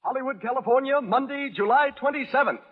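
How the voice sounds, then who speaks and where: A man's voice: very high voice, fast. A man proclaiming a formal show introduction as a 1930s radio announcer. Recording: tinny 1930s radio with music. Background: music.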